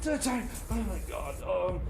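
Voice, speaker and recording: A scatterbrained, scheming radio sidekick, acted by a man with an extremely high, creaky voice. He rambles in halting, evasive bursts in comedy radio banter. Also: very animated, music in the background, exaggerated voice. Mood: flustered.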